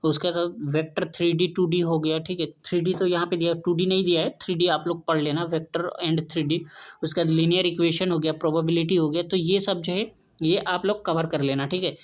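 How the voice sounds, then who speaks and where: A man's voice: on the high side, flat delivery, a little fast. A young Indian man gives an informal exam-prep explanation, listing items one by one with frequent check-in tags and mixed-in English terms. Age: young adult.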